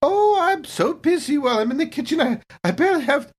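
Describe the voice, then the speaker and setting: A woman performs a fussy, folksy cook in a tabletop sci-fi game. Her pitch swings freely as she coyly plays innocent, sweet on the surface and gossipy underneath. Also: expressive, a little fast.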